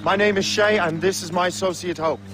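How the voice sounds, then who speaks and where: An actor playing a wry, plainspoken young sea captain in a game cutscene. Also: male voice, high-pitched, steady tone, fairly quick, natural voice. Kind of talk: video game cutscene acting. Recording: score music underneath.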